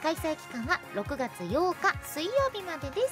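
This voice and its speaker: A woman's voice: high-pitched, animated, moderate pace. A woman hosting a radio show, reading scripted announcements in a presenter's style. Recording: music behind the voice.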